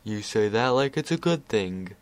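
A man voicing a lazy, sardonic male chaos spirit with a calm, wry drawl. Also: on the low side, normal speed, close to an everyday voice.

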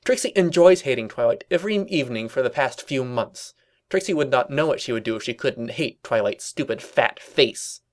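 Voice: mid-range voice, animated, moderate pace, close to an everyday voice. A performer voicing a haughty, smug female cartoon pony with a dramatic manner in a story reading.

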